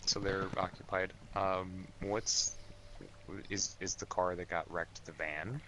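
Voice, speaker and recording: A man's voice: deep voice, steady tone, slow, bright, forceful tone, slight background noise, low-quality recording. A man casually talking through his moves as a player in a tabletop game, with voices in the background.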